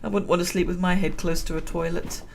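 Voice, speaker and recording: A woman commentating a building game at a relaxed pace, chatty and self-amused, and she is losing her voice. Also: on the low side, fast talker, gravelly. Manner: chatty, self-amused, laughs at own jokes. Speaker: gameplay commentator. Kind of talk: relaxed let's-play commentary.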